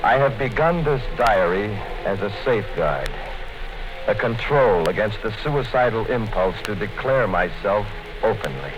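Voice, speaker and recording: A man's voice: fairly low pitch, lively intonation, a little slow. An actor narrating as a fearful, secretive male office worker writing a diary, brooding in a 1950s radio drama. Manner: tense, brooding inner monologue. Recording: dull-sounding 1950s radio broadcast with music.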